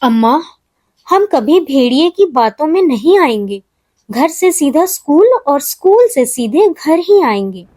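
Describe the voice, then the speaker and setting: A woman's voice: sits high, big pitch swings, a little slow, a little mellow, dry recording, voice pushed up. A woman voicing an obedient, sensible young male goat kid in a children's story, earnest and firm. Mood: earnest pleading.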